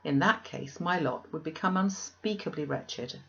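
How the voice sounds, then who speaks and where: A woman reader playing an earnest, resolute young woman in a classic novel, measured and formal. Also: low voice, vocal fry, lo-fi sound. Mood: troubled.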